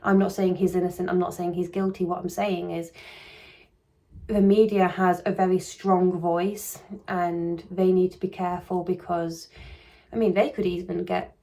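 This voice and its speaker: A woman talks through a true crime case in casual vlog commentary, her voice croaky and gravelly. She sits low and keeps a flat, even delivery. She rambles and hedges, restarting her sentences often. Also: moderate pace. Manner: rambling and hedging, with frequent restarts. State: croaky voice coming on.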